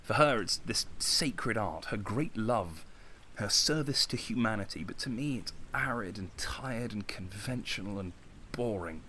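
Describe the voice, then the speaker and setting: An actor playing a bitter, insecure young man in a naturalistic audio drama, speaking intensely with dramatic pauses. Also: lowish voice, expressive, bright, forceful tone, slight background noise, rough recording. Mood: bitter, impassioned. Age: young adult. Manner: intense, agitated, self-pitying, with dramatic pauses. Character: young man, Konstantin, bitter, insecure, impassioned would-be artist.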